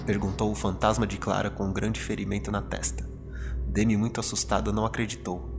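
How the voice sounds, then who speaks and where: Low-pitched Brazilian man narrating horror. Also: little pitch movement, a little fast, vocal fry. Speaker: horror story podcast narrator. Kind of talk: scripted dramatic narration. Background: prominent music.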